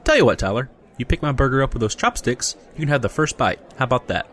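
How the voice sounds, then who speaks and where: A man plays a grumpy fantasy adventurer in an audio comedy. His medium-pitched voice delivers blunt, sarcastic remarks with a deadpan edge. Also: fast.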